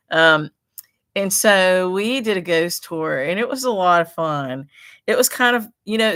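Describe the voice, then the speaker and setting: A woman's voice: sits low, a bit bright, no room sound. A middle-aged woman who runs a needlework shop, chatting casually on a livestream, rambling and greeting viewers by name. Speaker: stitchery shop owner hosting a livestream. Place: her shop in a small town.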